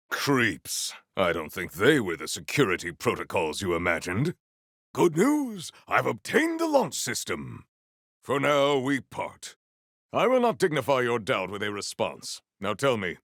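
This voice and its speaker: An actor playing a proud, honorable warrior in a video game, with formal, stately, theatrical diction. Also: male voice, medium pitch, gravelly, a bit bright, no echo, studio quality, exaggerated voice. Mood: measured, formal, then composed, courteous. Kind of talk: video game character voice lines.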